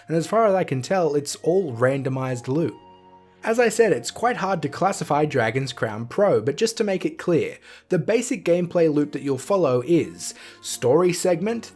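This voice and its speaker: A man's voice: on the high side, a little mellow. A man narrating a scripted video game review as the reviewer. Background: music.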